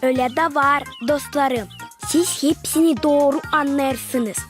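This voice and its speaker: A very high, childlike cartoon voice for a young male puppy. He is eager, polite and affectionate, a devoted little son. Light music plays underneath. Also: measured pace. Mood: friendly, excited.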